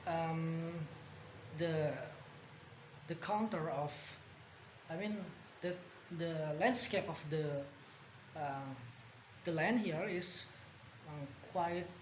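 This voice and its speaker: A young man from West Java with an Indonesian accent, talking casually for a vlog and pausing often with lots of ums. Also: on the high side. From Bandung, West Java, Indonesia. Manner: hesitant, many ums and pauses. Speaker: vlogger. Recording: echoey room with background noise.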